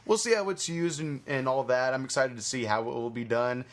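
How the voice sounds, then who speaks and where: A man's voice: medium pitch, a little fast. A man hosting a movie podcast in a casual, rambling way, swearing freely as he chats. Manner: rambling, foul-mouthed. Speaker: podcast host.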